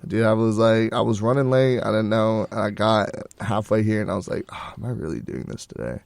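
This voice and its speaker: A man's voice: fairly low pitch, a little fast, creaky, dry recording. Young man chatting in a dry studio. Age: young adult. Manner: rambling, relaxed storytelling with fillers. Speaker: co-host. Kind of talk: casual chat. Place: college radio studio.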